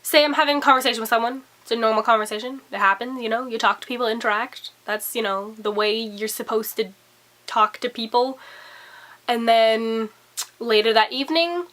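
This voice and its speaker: A young woman talking casually to camera, her voice still hoarse and recovering after being sick, rambling and confessional with lots of fillers. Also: on the high side, bright, forceful tone. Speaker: vlogger.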